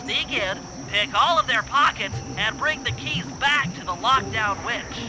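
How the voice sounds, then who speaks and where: Nerdy nasal turtle planner from a cartoon game, super high voice, wordy n careful, music behind. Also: male voice, expressive, measured pace, rough recording. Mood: instructive. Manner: precise, wordy, earnest. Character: nerdy, cautious male turtle mastermind, planning heists over radio. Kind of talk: cartoon game voice acting, mission briefing.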